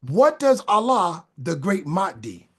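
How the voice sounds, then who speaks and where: High-voiced man preaching fervently. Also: sing-song, slow, breathy edge. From Boston, USA. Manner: stammering restarts, repeats phrases for emphasis. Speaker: podcast guest. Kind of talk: casual talk building into fervent preaching.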